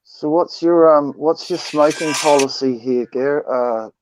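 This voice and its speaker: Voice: medium pitch, unhurried, slight background noise, poor audio. A speaker from New Zealand chatting casually on a live group call, asking the others easygoing questions. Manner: curious, asks questions, easygoing.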